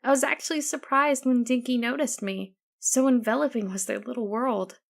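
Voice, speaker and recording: High-pitched, creaky female audiobook narrator. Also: steady tone, moderate pace. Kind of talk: first-person scripted story narration.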